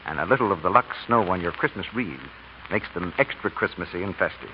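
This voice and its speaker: A man's voice: low voice, animated, a little fast. A man reading a scripted 1940s radio commercial as the announcer. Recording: muffled old radio, faint noise.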